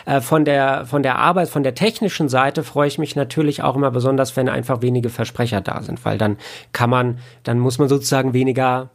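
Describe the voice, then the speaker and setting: A man's voice: medium pitch, steady tone, a little fast, vocal fry. A man answering casually in an interview, explaining his work in a reflective way. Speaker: audiobook editor and producer, interview guest.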